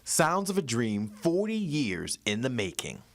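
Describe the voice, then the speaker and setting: A man's voice: mid-range voice, huge pitch swings, a little slow, over loud music. A man working as a TV news reporter, delivering a feature in a polished broadcast style. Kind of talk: scripted news feature narration with some studio chat.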